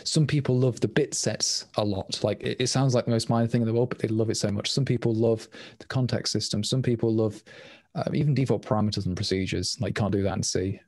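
A man's voice: lowish voice, steady tone, darkish tone, no room sound. Man answering questions, dry sound. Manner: quick, rambling, frequent restarts. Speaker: language designer presenting at a meetup. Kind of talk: casual Q&A answers. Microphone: webcam on a video call.

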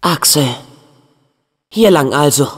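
A man playing an energetic, earnest teenage boy hero in a video game. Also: a little high, takes it slowly, breathy edge, heavily acted. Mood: earnest, curious.

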